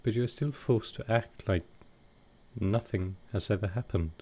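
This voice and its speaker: A man playing a gentle, grieving vampire lord in an intimate audio roleplay, speaking tenderly and with some hesitation. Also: on the low side, normal speed, husky. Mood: sorrowful, reflective. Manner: soft-hearted, hesitant, tender.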